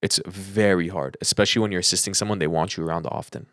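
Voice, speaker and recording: A man's voice: low-pitched, steady tone, fast talker, fairly bright tone, no echo, studio quality. A young adult man with an American accent talking casually and earnestly on a podcast, giving advice as a co-host.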